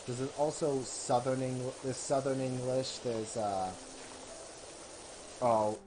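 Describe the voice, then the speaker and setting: A young man casually commenting while playing a video game on a live stream, rambling, swearing and thinking out loud. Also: mid-range voice, a little hiss or hum, rough recording.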